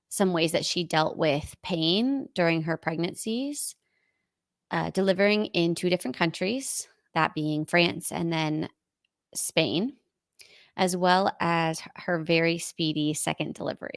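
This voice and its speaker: Woman hosting a podcast, upbeat and excited, low voice with lots of vocal fry, dry close mic. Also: no echo. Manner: upbeat, enthusiastic. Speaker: podcast host, doula. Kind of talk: podcast interview.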